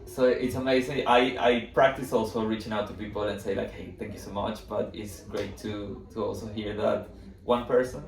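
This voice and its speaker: A man from Venezuela with a Venezuelan Spanish accent, interviewing in a casual, reflective way with halting, searching phrasing. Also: lowish voice, fairly even intonation, creaky. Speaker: podcast host, interviewer. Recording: echoey room, distant mic, background noise.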